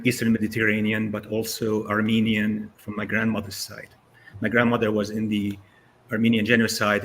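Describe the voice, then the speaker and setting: A man's voice: on the low side, fairly bright tone, a little hiss or hum. Syrian-born man in his sixties, storytelling. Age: 60s. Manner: conversational storytelling with frequent fillers. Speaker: guest speaker. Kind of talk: casual presentation over video call.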